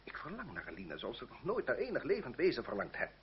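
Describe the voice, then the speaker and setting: An actor playing a fervent, lovestruck and haughty nobleman in a Dutch radio play, husky and theatrical. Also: mid-range voice, wildly swooping pitch, quick pace, slight background noise. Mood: pleading, remorseful. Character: impulsive, lovestruck aristocrat, arrogant towards his mistress. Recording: muffled old radio recording.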